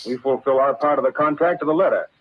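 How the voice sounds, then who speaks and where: An actor playing a shameless, self-assured racketeer in a vintage radio drama, assertive and scornful. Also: male voice, mid-range voice, fast talker, a little hiss or hum, close to an everyday voice. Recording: tinny, narrow old radio recording.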